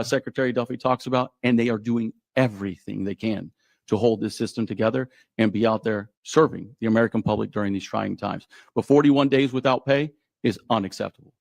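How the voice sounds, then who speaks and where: A man's voice: medium pitch, fairly even intonation, fairly quick, creaky, darkish tone, dry recording. Emphatic man speaking at a press conference. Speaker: union president at a press conference. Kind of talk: press conference answers, advocacy.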